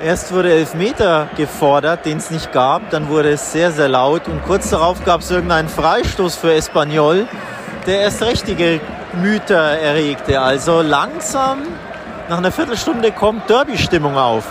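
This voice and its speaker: High-voiced man reporting live from a stadium. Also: moderate pace. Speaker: podcast host reporting live from a football match. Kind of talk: live on-site football commentary, casual. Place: outdoors in the stands of a large football stadium. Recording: outdoor stadium with crowd sound. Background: stadium crowd noise and music.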